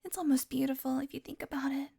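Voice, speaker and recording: A woman's voice: sits high, fairly even intonation, fast talker, gravelly, dark tone. A woman playing a haughty, sardonic female vampire on the run in an audio roleplay, teasing and exasperated as she talks straight to the listener. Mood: matter-of-fact, wry. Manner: sarcastic, teasing, exasperated sighs.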